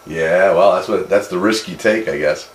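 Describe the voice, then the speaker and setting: An American man in easygoing, slightly halting casual conversation as a CB radio operator. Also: low voice. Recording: roomy, noisy CB radio audio.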